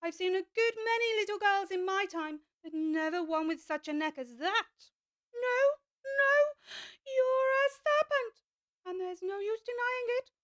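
A woman's voice: extremely high pitch, lively intonation, measured pace, a bit bright, dry recording, clean studio sound, voice pushed up, heavily acted. A woman voicing an indignant mother pigeon guarding her eggs, accusing and sulky in a storybook reading. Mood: shrill, indignant.

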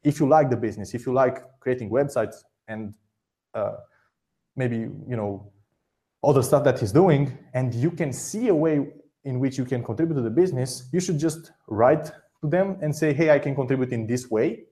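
A man hosting an interview, giving direct, encouraging advice in quick bursts, often repeating words as he talks. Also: mid-range voice, mellow. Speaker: podcast host.

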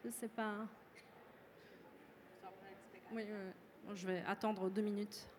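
A woman moderating a public debate, a native Portuguese speaker who reads her prepared remarks in a measured way. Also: medium pitch, flat delivery, a little mellow. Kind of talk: formal event moderation. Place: lecture hall with audience. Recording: reverberant hall, faint room noise.

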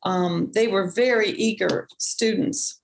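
A woman's voice: medium pitch, takes it slowly, creaky, slight background noise. A woman speaking reflectively in a casual conversation, often restarting her sentences as she thinks. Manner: reflective, with frequent restarts and fillers.

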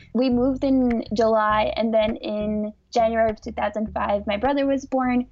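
A young woman from the United States telling her own story in a reflective, casual way, often pausing with "like". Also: a little high, darkish tone, highs cut off. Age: young adult. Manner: reflective, with frequent "like" and restarts. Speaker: interviewee.

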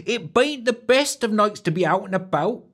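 A man's voice: animated, normal speed, fairly bright tone, exaggerated voice. A man doing a fretful rustic barmaid. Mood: fearful. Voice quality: falsetto, rustic dialect. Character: anxious young rustic barmaid woman.

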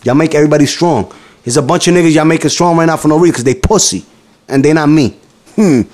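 Profane, excitable New man. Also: fast. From New York. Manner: loud, profane, teasing, jumps in pitch when excited. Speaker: podcast host. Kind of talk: crude casual banter.

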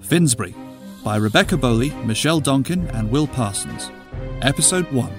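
Male announcer, medium pitch, scripted credit read over clear music. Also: expressive, normal speed. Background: loud music.

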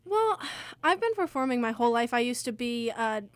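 Teenage girl with a highish voice. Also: sing-song, normal speed, no echo. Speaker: student performer being interviewed. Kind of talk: casual interview chat.

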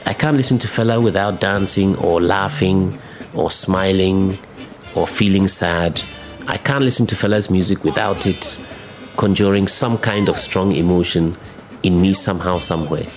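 Passionate producer, low voice. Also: male voice, normal speed, faint music behind. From Ghana. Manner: passionate, speeds up when enthusiastic. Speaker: music producer. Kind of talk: interview commentary.

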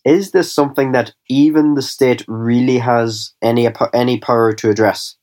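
A man interviewing a guest, putting measured, probing questions to him. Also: medium pitch, fairly even intonation, darkish tone.

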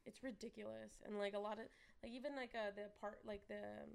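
A woman's voice: medium pitch, steady tone, quick pace, creaky, darkish tone. A woman chatting casually on a podcast, rambling and packing her sentences with fillers.

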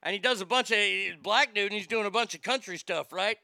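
A man's voice: high voice, a little fast, bright, forceful tone, no echo. A man in his fifties hosting a morning radio show with loose, crude, joking banter. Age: 50s.

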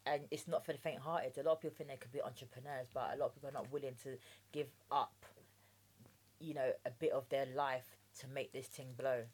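A woman's voice: a bass voice, fairly even intonation, a little fast, a little mellow. A woman in her thirties with a London accent, born in Jamaica, chatting candidly as a guest on an interview podcast. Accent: London English. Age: 30s. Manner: chatty and candid, with lots of "do you know what I mean". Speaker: guest entrepreneur.

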